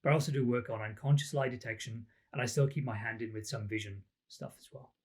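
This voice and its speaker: This is a middle-aged Welsh man speaking as a podcast guest. He explains things loosely, with plenty of ums, and likes to poke fun at himself. His voice sits at an ordinary pitch. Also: fairly even intonation, fast talker, a little mellow, husky. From Wales. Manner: chatty and self-deprecating, frequent ums. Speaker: academic guest, lie detection researcher. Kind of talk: relaxed interview answers.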